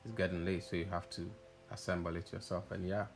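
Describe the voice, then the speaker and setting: Male tech vlogger, low gravelly voice, hesitant informal narration over clearly audible music. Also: level tone, brisk. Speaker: audio installer narrating own project video. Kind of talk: casual walkthrough voice-over. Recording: music playing behind the voice. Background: background music.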